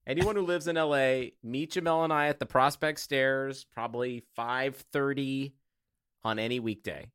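A man's voice: medium pitch, steady tone, moderate pace, fairly bright tone, clear, resonant tone, no echo, clean studio sound. A man co-hosting a podcast with casual banter. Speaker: podcast co-host. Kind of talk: casual chat.

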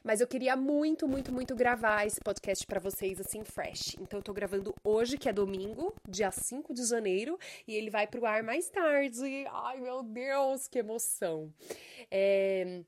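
A woman's voice: fairly high pitch, a little slow, a little hiss or hum. A Brazilian woman hosting her own podcast, chatting casually and expressively with a nasal, congested voice from a sinus infection. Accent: Brazilian Portuguese. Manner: chatty, expressive, full of fillers. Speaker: podcast host and life coach. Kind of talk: casual solo podcast monologue.

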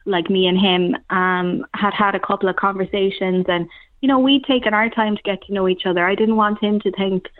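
A woman's voice: medium pitch, fairly even intonation, brisk, no echo. A woman with an Irish accent from Cork, chatting informally and thinking aloud as a theatre director in a casual interview. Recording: very phone-like, narrow-band sound.

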